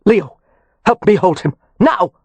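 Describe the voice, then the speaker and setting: An exasperated man barking orders and scolding, his voice breathy, as a reader acts him out. The speech has lively pitch swings and is recorded dry and close. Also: brisk, no echo, full character voice. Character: commanding brother-in-law, exasperated.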